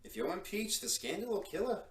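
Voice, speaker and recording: A man's voice: high voice, very animated, brisk, a bit bright, reverberant. A man playing a sly, insolent secretary turned schemer in an old-time radio drama, smug and needling.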